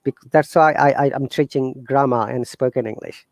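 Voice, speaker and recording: Bangladeshi-accented man, earnest and halting. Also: medium pitch, no echo. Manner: earnest, animated, halting with fillers and restarts. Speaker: guest teacher. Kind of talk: casual interview talk.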